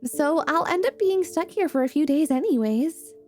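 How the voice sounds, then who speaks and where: A woman playing a bright, loud, cheerful young adventurer who gets easily flustered, in a fantasy ASMR roleplay. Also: high-pitched, animated, normal speed. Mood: breezy, friendly.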